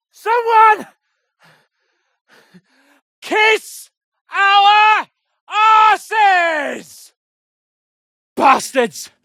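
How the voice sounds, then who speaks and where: An actor playing a haughty, hot-headed young nobleman in a video game, pompous and sharp-tongued. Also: extremely high pitch, no echo, studio quality, exaggerated voice. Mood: shouting, indignant.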